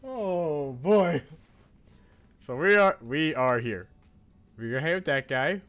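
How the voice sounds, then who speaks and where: A man's voice: on the high side, animated, takes it slowly, a bit bright. A man streaming a game casually, chatting and reading on-screen text aloud. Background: faint game music.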